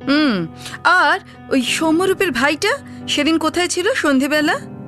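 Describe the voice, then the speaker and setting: An actress playing a composed, inquisitive private detective in a Bengali audio story, with probing, polite questions. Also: female voice, sits high, lively intonation, a little slow, fairly bright tone, soft background music.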